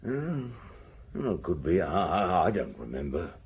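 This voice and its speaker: An actor playing a shifty small-time crook and ex-sailor in a radio crime drama, talking in a rambling, colloquial way. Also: male voice, deep voice, very animated, a little slow, full character voice. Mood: evasive. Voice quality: husky, gravelly. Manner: rambling, hesitant, colloquial storytelling.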